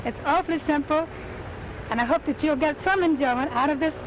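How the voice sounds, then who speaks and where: A woman's voice: high voice, brisk, highs cut off. A woman pilot giving a polite, enthusiastic, rehearsed address to a 1930s newsreel camera outdoors. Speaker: glider pilot demonstrator. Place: outdoors, 1930s film shoot. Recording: noisy 1930s outdoor film sound.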